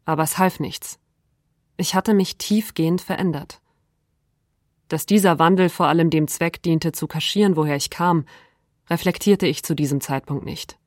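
A woman reading quoted text aloud in a calm, deliberate manner. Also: deep voice, no echo, clean studio sound. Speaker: reader of quotations.

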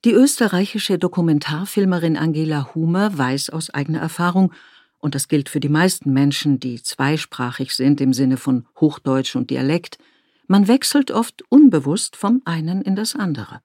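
A woman from Tyrol, narrating a documentary feature in a measured, reflective way. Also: low-pitched, animated, darkish tone, dry recording, studio quality. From Tyrol, Austria.

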